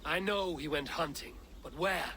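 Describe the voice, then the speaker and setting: A man's voice: fairly high pitch, moderate pace, background noise, lightly acted. A terse, determined Viking warrior, acted by a man, speaks in a slightly raised register with composed authority. The delivery is game drama, questioning and commanding, under heavy game sound. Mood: businesslike. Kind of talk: video game voice acting.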